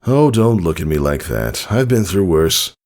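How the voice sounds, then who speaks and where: A man voicing an injured pegasus father who is stoic and gently reassuring in a storybook reading. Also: low voice, big pitch swings, measured pace, pitched above the performer's own voice. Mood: wry, encouraging. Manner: gently reassuring, downplaying pain. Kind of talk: fan fiction audiobook reading.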